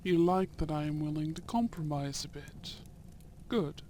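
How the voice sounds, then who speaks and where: A man playing a young, courteous and shrewd Edwardian viscount in a romantic audio roleplay, with formal, deliberate phrasing and soft murmurs. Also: on the high side, a little hiss or hum, natural voice. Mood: courteous, assured.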